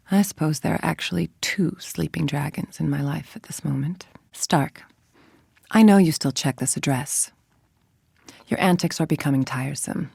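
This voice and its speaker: A scheming female scientist for a video game: low, heavily creaky voice, formal, controlled, dryly superior. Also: darkish tone, dry recording, studio quality, full character voice. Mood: brooding, musing, then cold, threatening. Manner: articulate, formal, dryly condescending. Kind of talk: scripted game monologue, dictated logs and letters.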